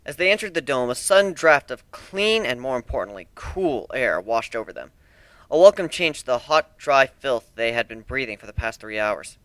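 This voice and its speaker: A man's voice: mid-range voice, expressive, normal speed, a bit bright, no room sound. A man narrating fan fiction as a dramatic reading of a scripted story.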